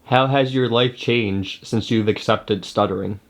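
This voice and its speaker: A man works as the interviewer. He asks brief, gentle questions. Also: on the low side, steady tone, measured pace.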